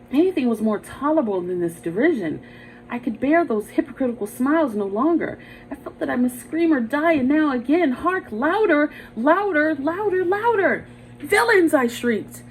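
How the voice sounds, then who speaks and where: A woman voicing a guilt-crazed murderer who narrates a gothic horror story in a theatrical, deliberate way. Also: high voice, pitched above the performer's own voice, low-quality recording. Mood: hysterical, shrieking. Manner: theatrical, deliberate, building to shrieking hysteria. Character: guilt-crazed murderer narrator, unraveling from smug calm into frenzy. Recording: home recording with clear background noise.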